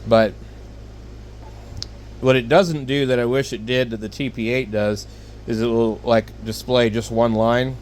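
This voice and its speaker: A man talks casually and a little haltingly, like a hobbyist showing gear to viewers. His delivery is rambling and unscripted, at a middling pitch. A faint steady noise sits behind him. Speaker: hobbyist video host reviewing handheld radios. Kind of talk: casual rambling product talk.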